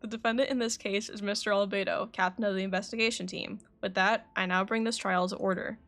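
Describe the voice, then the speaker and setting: An actress playing a formal, composed woman knight presiding over a game trial, measured and authoritative. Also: mid-range voice, fairly even intonation, a bit bright, lightly acted. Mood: solemn. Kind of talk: video game voice acting.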